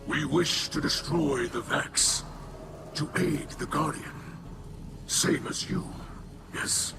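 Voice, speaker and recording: A dignified alien leader for a game. The creaky, gravelly voice sits fairly high and moves slowly and earnestly, with loud music behind it. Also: male voice, steady tone. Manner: halting, solemn, earnest. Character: humble, dignified male alien leader pleading for his people. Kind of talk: dramatic video game dialogue.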